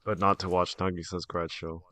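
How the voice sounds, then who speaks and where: A man with a slightly raspy voice, joking dryly as a podcast guest. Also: sits low, flat delivery, moderate pace, bright, forceful tone, no room sound. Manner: dry, joking.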